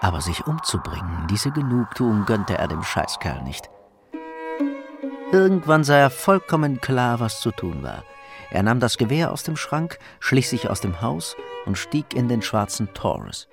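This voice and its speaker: A man reads scripted crime narration. His mid-range voice moves with a lot of variation in intonation. Music plays clearly behind him. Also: medium pitch, big pitch swings, a little slow.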